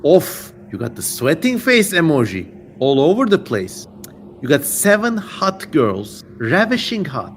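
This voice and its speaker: A man's voice: on the high side, wildly swooping pitch, measured pace. Playful man livestreaming over audible background noise. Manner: playful, irreverent, theatrical. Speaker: host of a live-streamed dramatic reading. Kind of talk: casual livestream reading with joking asides.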